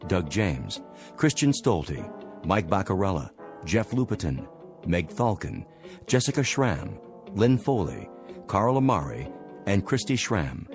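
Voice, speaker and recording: A man's voice: low voice, sing-song, takes it slowly, soft background music. A man announcing programme credits in a formal, scripted broadcast style.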